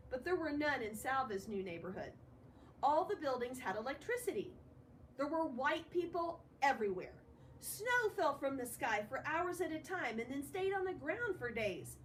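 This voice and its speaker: An American woman reading a story aloud like a teacher, expressive and explanatory, breaking off for casual commentary. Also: high-pitched. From United States. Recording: distant mic, noisy room ambience.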